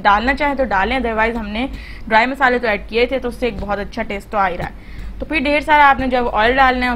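Lady doing a cooking voice-over, kinda high voice, chatty, lots of fillers, bit of hum behind. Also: fairly bright tone. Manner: chatty, instructive, many fillers. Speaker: cooking channel host.

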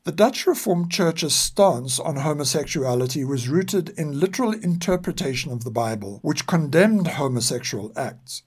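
An older man reads scripted historical narration in a measured, formal and serious way. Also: on the high side, lively intonation, a little mellow, slightly rough. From South Africa. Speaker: narrator and podcast author.

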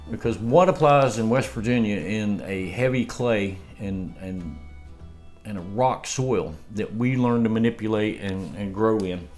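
Man, 50s, homestead vlogger, low voice, loose rambling chat, room camera, soft music bed. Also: measured pace. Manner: rambling storyteller with laughs and restarts. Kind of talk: casual chat. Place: home dining room, indoors. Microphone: camera across the table.

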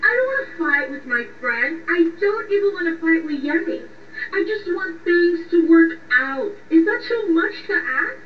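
A woman's voice: very high-pitched, lively intonation, unhurried. A woman playing a lovesick, vulnerable young woman in a radio drama, hesitant, defensive and pleading. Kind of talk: radio drama phone conversation. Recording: muffled, echoey, distant, faint noise.

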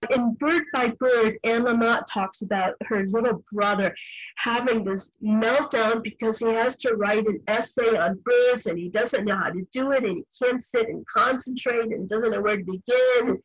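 An older woman, a novelist being interviewed, speaking reflectively in a measured, firm way. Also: fairly high pitch, no echo, muffled recording. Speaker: guest novelist.

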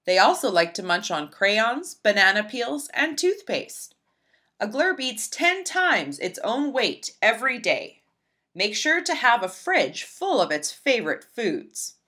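A woman reading a children's picture book aloud in an expressive, emphatic storybook style. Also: a little high, measured pace. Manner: animated, emphatic, storybook delivery.

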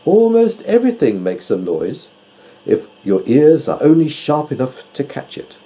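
A proud, exacting owl from a children's storybook, acted out by a male narrator. The voice is pitched up and swoops in lively fashion, with precise, emphatic, self-assured delivery. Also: unhurried. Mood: insistent, boastful. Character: male owl with keen hearing, exacting and haughty.

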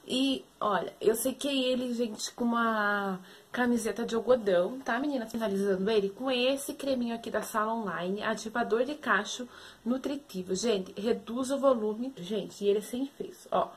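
A woman's voice: on the high side, normal speed. A young woman from Brazil chatting casually through a hair tutorial from her bedroom, talking to the camera the whole time. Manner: chatty, says "gente" and "meninas" constantly. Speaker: beauty vlogger. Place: small bedroom with shelves, indoors.

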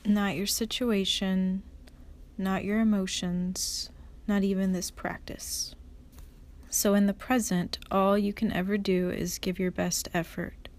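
Very slow-paced woman guiding meditation. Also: medium pitch. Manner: calm, measured, soft instructional delivery with pauses. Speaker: meditation guide, yoga instructor. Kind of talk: guided meditation narration.

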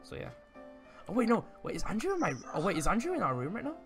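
A man in improvised gaming roleplay chat, speaking haltingly and repeating words as he goes. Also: high voice, wildly swooping pitch. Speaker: roleplay player. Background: music.

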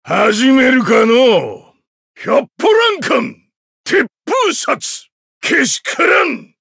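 Anime game warrior monk, old, huge, menacing: husky, hugely swooping delivery, archaic and theatrical. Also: male voice, very high-pitched, very animated, a bit bright, dry recording, clean studio sound, exaggerated voice. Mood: menacing, amused. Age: older. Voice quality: gravelly, husky. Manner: slow, theatrical, archaic old-man speech. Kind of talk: anime game battle voice lines.

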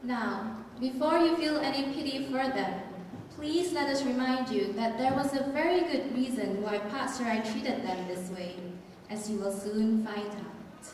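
A woman's voice: fairly high pitch, unhurried, a little hiss or hum. A young woman narrating a stage pantomime as a scripted story, with a theatrical storyteller delivery. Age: young adult. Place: large theatre auditorium, heard over PA. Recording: echoey hall from far off, music behind. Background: loud music.

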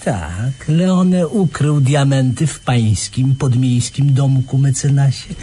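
A man's voice: mid-range voice, slow pace, mellow, a little hiss or hum, close to an everyday voice. An actor playing a smug, theatrical police inspector in a radio play, gloating and mock-courteous as he explains. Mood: smug explaining.

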